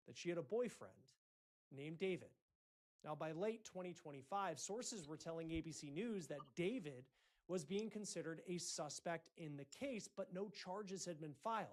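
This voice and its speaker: A man hosting a breaking news report, speaking in deliberate, emphatic phrases with dramatic pauses. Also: fairly high pitch. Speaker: news host.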